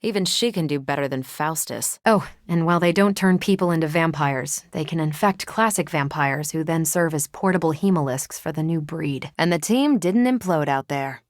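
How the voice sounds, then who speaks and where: Casting: confident American video game superheroine, acted by a woman, ex-military pilot, lowish voice, dry wit, close dry sound. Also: normal speed, few pauses, no room sound, close to an everyday voice. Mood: sardonic. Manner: dry, wisecracking, self-assured.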